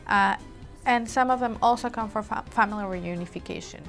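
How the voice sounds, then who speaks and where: A woman's voice: mid-range voice, fairly quick, creaky, a bit bright, soft background music. A woman being interviewed, explaining things with a few fillers and restarts. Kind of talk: interview answer.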